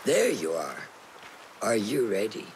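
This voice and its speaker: An actor playing a stern, authoritative older master assassin who instructs a pupil in a video game cutscene. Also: male voice, on the low side, huge pitch swings, a little slow, slight background noise. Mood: calm, firm.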